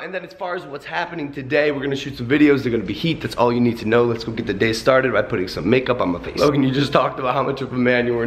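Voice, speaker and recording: A man in his twenties, vlogging with hyped, slangy, jokey energy while his voice is a little deep from a sore throat, filmed on a handheld camera at arm's length in his apartment. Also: slight background noise. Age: 20s. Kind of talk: energetic daily vlog. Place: apartment indoors.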